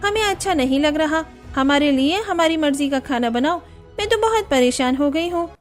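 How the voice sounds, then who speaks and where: A woman's voice: high-pitched, lively intonation, moderate pace, soft background music. A woman playing a chatty, emphatic young married neighbor in a cartoon story. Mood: exasperated. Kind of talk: Hindi cartoon story dialogue.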